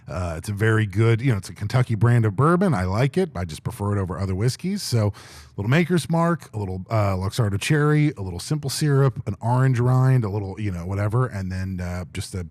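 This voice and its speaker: A young man with a deep voice, co-hosting a podcast in casual, talkative chat. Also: fast, no echo, clean studio sound. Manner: talkative, animated. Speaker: podcast co-host.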